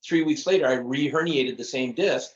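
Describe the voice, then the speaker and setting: A man's voice: medium pitch, moderate pace, highs cut off. A middle-aged man speaking earnestly as a podcast guest, often repeating words and laughing at himself. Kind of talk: casual interview chat.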